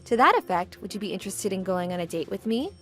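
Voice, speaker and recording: A woman's voice: mid-range voice, sing-song, quick pace, a bit bright. A woman playing a composed, forward young businesswoman in a manga dub, speaking politely and matter-of-factly. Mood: businesslike. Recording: background music under the voice.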